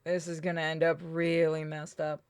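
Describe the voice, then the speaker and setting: A woman's voice: sits low, monotone, normal speed, clean tone. A woman giving casual, blunt commentary as she plays through a game. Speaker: game streamer. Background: game music.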